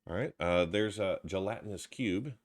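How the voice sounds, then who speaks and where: A man with a stuffy head cold casually commenting as he opens trading card packs, reading out card names with low energy. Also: normal speed. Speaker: card box opener.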